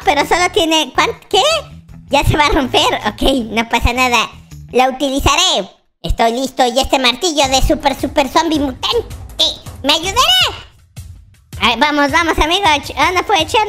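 Squeaky, excitable baby noob boy. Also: normal speed, soft background music. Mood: determined. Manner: exclamatory, dramatic, repetitive. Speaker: YouTube gamer playing a character. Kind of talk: excited children's Minecraft roleplay commentary.

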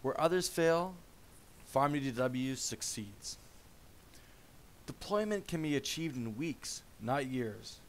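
Man narrating a software demo, faint hiss. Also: medium pitch. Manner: measured explanatory presenter tone.

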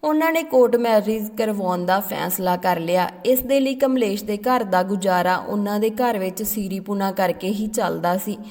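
A woman's voice: mid-range voice, normal speed, few pauses, darkish tone. Echoing woman storyteller. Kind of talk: scripted story narration, read aloud. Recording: long echoing reverb on the voice.

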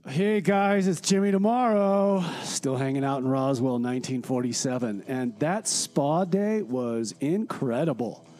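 A man's voice: medium pitch, a little slow, few pauses, dark tone, lightly acted. A man playing a gossipy, laid-back time traveler in a radio comedy skit, casual and confiding. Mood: breezy. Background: music.

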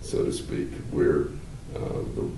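An older man, a law professor, explaining at length in a measured, halting way with many fillers, seated in a wood-paneled room. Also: dark tone. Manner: gestures with hands while talking. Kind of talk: explanatory interview answers. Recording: roomy, slightly distant, faint hiss.

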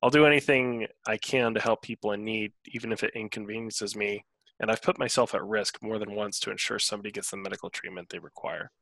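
A man's voice: fairly low pitch, fairly even intonation, fast, creaky, a bit bright, dry recording, poor audio. A man in his thirties reading a first-person character introduction as a player in an online tabletop game. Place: indoors at home, online video call.

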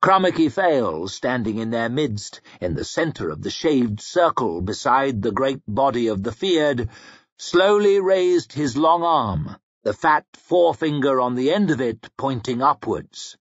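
A man's voice: medium pitch, expressive, a little slow, breathy edge, no room sound, muffled recording. A man narrating fiction as an audiobook reader, dramatic, grave and suspenseful.

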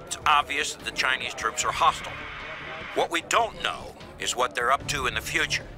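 An actor playing an authoritative, businesslike NATO lieutenant colonel in a scripted video game briefing. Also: male voice, mid-range voice, normal speed, close to an everyday voice. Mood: brisk, commanding. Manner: commanding, businesslike. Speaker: military commander. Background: music.

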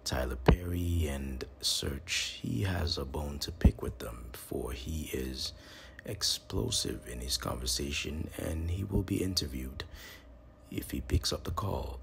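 Man, podcast host, extremely low pitch, creaky fry, portentous promotional monologue, noticeable background noise. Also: a little slow.

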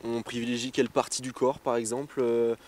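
Host interviewer, fast-paced questions, run-on phrasing, handheld mic, outdoor ski slope, faint background noise. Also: mid-range voice, flat delivery, darkish tone. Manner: brisk, asks questions in long run-on phrasing. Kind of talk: interview questions read from notes.

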